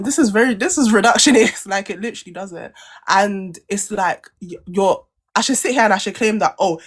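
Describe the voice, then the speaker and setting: A young woman argues hard in a podcast debate, pitched in the middle of the range. She circles back to the same points and will not be cut off. Her tone is insistent and steady. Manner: insistent, repeats points, talks over interruptions. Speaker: podcast co-host. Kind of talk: heated debate.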